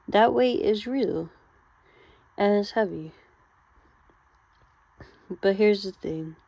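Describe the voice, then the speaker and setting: A woman delivering a guided therapy-style monologue, speaking gently and deliberately in short phrases with pauses between them. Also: fairly low pitch. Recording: roomy, slightly dull lo-fi sound.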